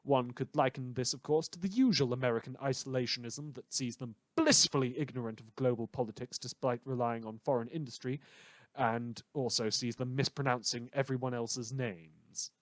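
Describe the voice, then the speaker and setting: A man's voice: medium pitch, moderate pace, no echo, close to an everyday voice. Guy acting as wry late night radio host, rambly n sarcastic, theatrical, very dry close sound. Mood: sardonic. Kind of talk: late-night radio monologue in audio fiction.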